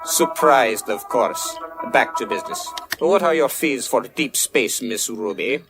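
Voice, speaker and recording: Croaky, courtly android. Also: male voice, medium pitch, expressive. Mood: persuasive, businesslike. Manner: formal, unhurried, courteous, flowery. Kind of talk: science fiction radio play acting. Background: music bed.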